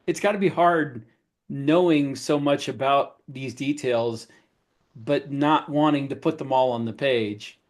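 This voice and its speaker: A man's voice: medium pitch, a little slow. A man co-hosting a podcast with casual banter. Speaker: podcast co-host.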